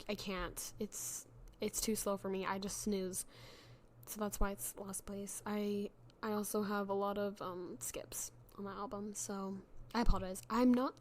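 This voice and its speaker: A woman's voice: medium pitch, a little mellow, slight background noise. A young woman hosting a casual solo podcast, chatty and self-deprecating, with many fillers and restarts, her voice worn from being sick. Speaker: podcast host.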